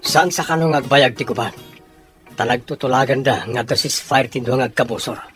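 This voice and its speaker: An actor playing a soldier chatting easily with a comrade in a radio drama, with an Ilocano accent. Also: male voice, mid-range voice, steady tone, moderate pace, over music, lightly acted. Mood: reflective.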